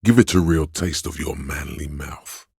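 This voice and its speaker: Sleazy inner voice of vice for a video game, super deep and very husky, slow seductive goading, wants booze and thrills. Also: male voice, darkish tone, no echo, studio quality, heavily acted. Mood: coaxing, leering. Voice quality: gravelly, husky bass. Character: sleazy hedonistic inner voice of a drunkard's nervous system. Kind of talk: video game character voice acting.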